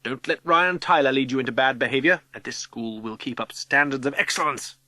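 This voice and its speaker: Man as a sharp, scolding schoolmistress. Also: medium pitch, a little fast, dry recording, natural voice. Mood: scolding, contemptuous. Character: stern, scolding older schoolmistress, self-righteous and spiteful. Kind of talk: audiobook character acting.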